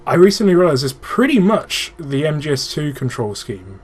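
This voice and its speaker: A man's voice: a little high, sing-song, normal speed, husky. A man giving casual, informal gaming commentary as a let's play host, swearing freely and explaining technical details.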